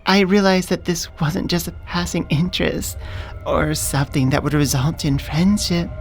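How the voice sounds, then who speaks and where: A woman playing a smitten, businesslike elf manager in a soft-spoken ASMR roleplay, earnest and deliberate. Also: fairly low pitch, fairly even intonation, soft background music, close to an everyday voice. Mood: hesitant, earnest. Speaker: voice actor.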